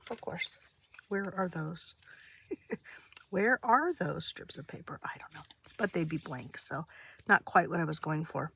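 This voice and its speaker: A woman talks casually through a craft project in a gravelly, fry-edged voice that is slightly nasal and stuffy. She takes her time, hesitating and correcting herself as she thinks aloud. Clear background noise and small clicks sit behind her. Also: sits low, animated, a little slow, long pauses, a bit bright. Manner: hesitant, meandering, self-interrupting. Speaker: craft video host. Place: indoors at a craft desk.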